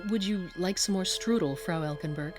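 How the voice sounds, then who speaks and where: Actress as low-voiced, resolute wife and mother. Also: normal speed, natural voice. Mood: composed, resolute. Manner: formal, composed, firm. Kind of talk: radio drama acting. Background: faint music.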